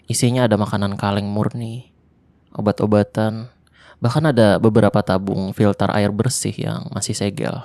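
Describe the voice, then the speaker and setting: A man voicing a lonely young male survivor of a zombie apocalypse in an ASMR roleplay, talking softly and intimately in casual Jakarta slang, close to the microphone. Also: on the low side, vocal fry, dry recording. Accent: Jakarta colloquial Indonesian.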